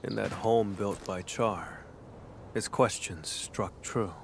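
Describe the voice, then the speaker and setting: Over clear nature ambience comes a brooding warrior from a video game. His voice is on the low side and very slow. He speaks formally and intimately, with solemn, earnest devotion. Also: slight background noise. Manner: measured, formal, hushed and intimate. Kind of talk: video game story dialogue acting.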